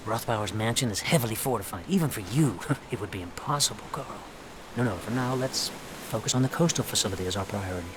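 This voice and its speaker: A man's voice: lowish voice, expressive, fast talker, close to an everyday voice. An actor playing a friendly male wartime intelligence ally in a war game, chummy and informal. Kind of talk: cinematic game voice acting. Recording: clear ambient noise behind.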